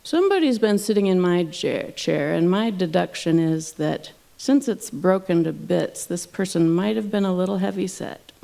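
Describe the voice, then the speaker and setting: A woman's voice: lowish voice, lively intonation, moderate pace, gravelly, few pauses, warm tone. A woman voicing a precocious eight-year-old boy bear, matter-of-fact and a little pedantic, in a told fairy tale. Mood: analytical.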